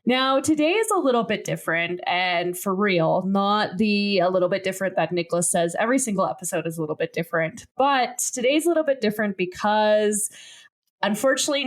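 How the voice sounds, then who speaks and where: A young adult woman hosting a science podcast in casual chat, joking and explaining plainly. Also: mid-range voice. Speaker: podcast host.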